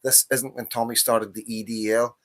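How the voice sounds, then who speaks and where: A man's voice: mid-range voice, level tone, moderate pace, fairly bright tone. Northern irish guy, 50s, hosting his own live stream, heated rant, lots of swearing and repeating himself. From Northern Ireland. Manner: impassioned, ranting, profane, repeats himself. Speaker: livestream host. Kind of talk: casual political monologue.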